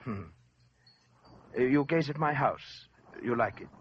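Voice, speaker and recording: An actor playing a fat, sinister collector who talks in a florid, mocking and formal way, in 1940s radio acting, on a lo-fi old recording. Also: male voice, mid-range voice, lively intonation, unhurried, a little hiss or hum, close to an everyday voice. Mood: silky, mocking. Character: sinister suave fat collector, man, middle-aged.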